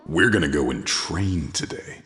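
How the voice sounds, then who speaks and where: A fond father speaks in a very deep, lilting voice. He is affectionate and conspiratorial, with reverb around him as in a remembered scene. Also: expressive, moderate pace, fairly bright tone. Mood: fond, playful. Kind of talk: audio drama acting.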